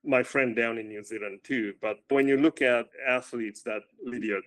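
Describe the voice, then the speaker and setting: A man with a loud voice, a running coach as guest, talking casually and often restarting with repeated words. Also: medium pitch, steady tone. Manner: halting, repeats words while thinking. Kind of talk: casual interview chat.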